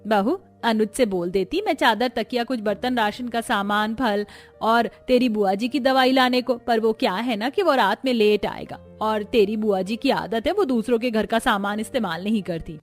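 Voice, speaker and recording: A woman's voice: a little high, normal speed, lightly acted. A woman voicing a kindly, welcoming middle-aged mother-in-law in a cartoon story, with music behind. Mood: approving.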